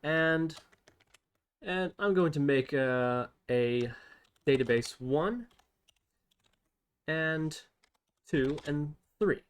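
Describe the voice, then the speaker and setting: A man's voice: fairly high pitch, extremely slow, long pauses, smooth. A man hosting an unscripted programming tutorial, thinking aloud step by step as he types. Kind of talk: unscripted screen-recorded coding tutorial.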